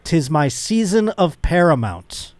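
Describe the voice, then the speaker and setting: A man's voice: a little high, big pitch swings, a little slow, a little mellow, no room sound. Rambling, playful man hosting a podcast. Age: middle-aged. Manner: rambling, self-deprecating, playfully theatrical. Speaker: podcast host. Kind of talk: casual comedic podcast chat.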